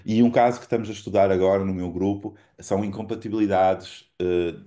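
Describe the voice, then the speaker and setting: Low-voiced Portuguese man giving a lecture. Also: fairly even intonation, warm tone. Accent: European Portuguese. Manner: measured, explanatory, with frequent fillers and restarts. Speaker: guest lecturer. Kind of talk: academic seminar lecture.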